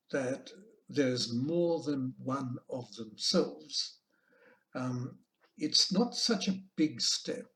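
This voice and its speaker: An Australian man delivers an informal talk as a teacher. He speaks very deliberately and slowly, often halting between phrases, in a mid-range voice. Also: medium pitch. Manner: measured, deliberate, halting with pauses. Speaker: language teacher giving a conference presentation. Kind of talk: informal online lecture. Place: online video call presentation.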